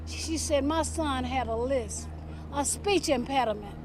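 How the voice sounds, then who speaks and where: A woman's voice: high-pitched, measured pace, background noise. A woman interviewed as a family member, recounting memories in a storytelling way. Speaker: relative interviewed for news.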